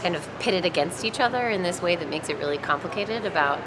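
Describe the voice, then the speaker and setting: A woman's voice: on the low side, animated, fast talker, background noise. A woman audience member giving a casual testimonial.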